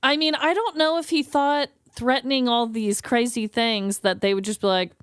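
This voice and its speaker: A woman's voice: a little high, steady tone, moderate pace, smooth, dry recording, clean studio sound. A woman co-hosting a podcast, telling a story in casual, slangy conversation and often acting out what people said. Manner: informal, slangy, acts out imagined dialogue. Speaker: podcast co-host telling a true crime story.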